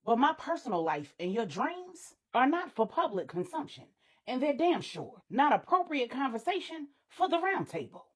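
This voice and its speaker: A woman voicing a commanding, indignant queenly mother, sharp and emphatic, in an audio story. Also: sits high, very animated, moderate pace, husky, heavily acted.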